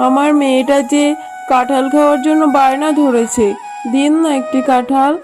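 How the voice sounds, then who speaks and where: A woman's voice: sits high, fairly even intonation, moderate pace, lightly acted. A woman voicing a poor, weary but loving fox mother in a cartoon, speaking in a plaintive, motherly way. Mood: pleading, hurt. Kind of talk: children's cartoon voice acting. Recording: music playing behind the voice.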